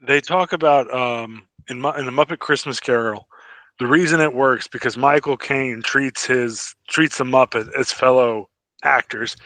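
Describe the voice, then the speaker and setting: A man's voice: mid-range voice, pushed and bright, no room sound. A man co-hosting a podcast in casual fan chat, keen and full of his own opinions. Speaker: podcast co-host.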